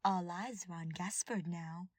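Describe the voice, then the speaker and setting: A woman voicing a smug, mocking female royal advisor who gloats in a drawn-out, scheming way in an audiobook-style story. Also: fairly low pitch, steady tone, darkish tone, no echo, studio quality. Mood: gloating.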